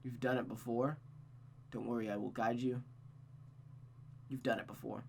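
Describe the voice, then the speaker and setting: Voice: mid-range voice, fairly even intonation, normal speed, vocal fry, darkish tone, slight background noise, voice pushed down, natural voice. A performer voicing a cold, manipulative mother who coaxes and dominates her child with silky endearments in a visual novel. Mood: coldly persuasive.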